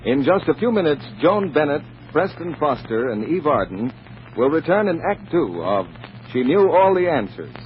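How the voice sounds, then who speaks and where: A man's voice: on the high side, very animated, moderate pace. Brief: male announcer, vintage radio ad read, swooping polished delivery, dull noisy recording. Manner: polished, persuasive. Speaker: radio announcer reading sponsor copy. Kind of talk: 1940s radio advertising announcement.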